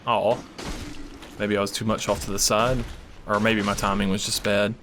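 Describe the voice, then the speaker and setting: A man's voice: fairly low pitch, flat delivery, normal speed, background noise. A man casually commenting while he plays a video game, rambling and swearing, losing his voice and coughing. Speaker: gaming channel host. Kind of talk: casual let's play commentary.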